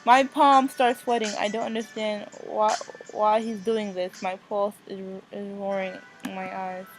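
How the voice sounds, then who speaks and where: A woman hosting a visual novel let's play, reading aloud with casual, expressive reactions and stumbling over and repeating phrases. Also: medium pitch, a little slow, poor audio. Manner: expressive, reacts in outbursts between read passages. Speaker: gaming video host. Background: music.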